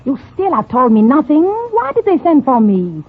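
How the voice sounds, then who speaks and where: A woman acting a weary, sharp-tongued Parisian nightclub singer with a French accent in a 1950s radio drama, dramatic and wry. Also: high voice, wildly swooping pitch, moderate pace, exaggerated voice. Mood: urgent, demanding. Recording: muffled 1950 radio recording.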